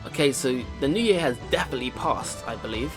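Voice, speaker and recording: A man's voice: medium pitch, animated, fast talker. A man hosting a let's play, reading game text aloud expressively and adding casual commentary. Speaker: let's play video host. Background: game music.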